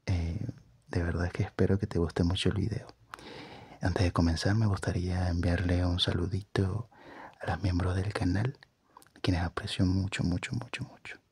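A soft-spoken man in his thirties presenting ASMR, often repeating his words. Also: low-pitched, little pitch movement, moderate pace, breathy edge. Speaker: ASMR channel host.

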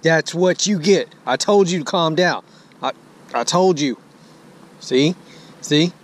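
A man's voice: on the high side, slow. A man with an American accent chatting casually and playfully as he fishes, repeating himself in a folksy way. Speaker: angler filming a fishing vlog. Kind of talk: casual running chatter while fishing. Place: outdoors on a bass boat on a lake. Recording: noisy outdoor boat audio, picks up everything.

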